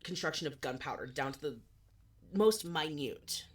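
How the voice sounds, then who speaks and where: A woman in her twenties co-hosting a podcast, chatting casually with animated, swearing asides. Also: low voice, normal speed. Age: 20s. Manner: animated, swearing, self-deprecating. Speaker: podcast co-host. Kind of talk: casual chat.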